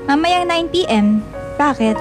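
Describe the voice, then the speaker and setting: A woman's voice: sits high, animated, a little slow. A woman playing a bubbly, caring young barista in a Filipino radio drama, playfully scolding and giggly. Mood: cheerful, surprised. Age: young adult. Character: bubbly, caring young working-student barista, feigning exasperation. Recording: loud music under the voice. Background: loud background music.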